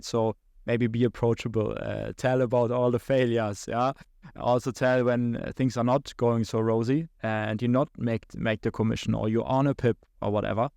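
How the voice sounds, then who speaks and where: A man's voice: medium pitch, vocal fry, a little mellow, clean tone, no room sound, studio quality. A young man from Germany with a German accent, a podcast guest talking through his career with many fillers and restarts. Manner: halting, many restarts and fillers, laughs between thoughts. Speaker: guest, account executive. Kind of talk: podcast interview.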